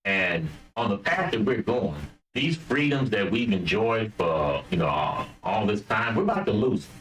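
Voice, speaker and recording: A man with a fairly low voice hosts a podcast. His pitch moves a lot, and he speaks in an earnest, measured, appealing way. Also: expressive, a bit bright, poor audio. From United States. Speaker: podcast host.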